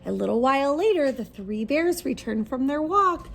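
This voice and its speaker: A woman's voice: high-pitched, animated, normal speed, gravelly, a little hiss or hum. A woman reading a children's story aloud expressively, putting questions to her listeners as she goes.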